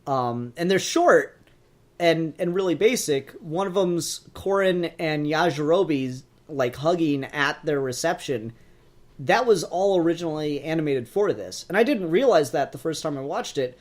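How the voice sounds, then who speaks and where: A man's voice: fairly high pitch, expressive, unhurried. A man co-hosting a podcast, chatting casually and enthusiastically as a fan reviewer, with plenty of swearing.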